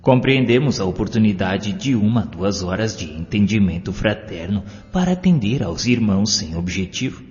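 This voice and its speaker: A man's voice: fairly low pitch, expressive, normal speed, few pauses, a little mellow, close to an everyday voice. A Brazilian man voicing a stern but patient male spirit mentor in an audiobook, formal and instructive. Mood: admonishing. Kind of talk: audiobook character dialogue. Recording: muffled, long reverb, music behind.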